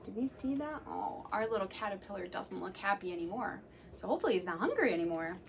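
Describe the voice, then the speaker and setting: A young woman, a children's librarian, reading a picture book aloud to children in a gentle, slow, sing-song way and counting along. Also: mid-range voice, animated, vocal fry, noisy background. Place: library room.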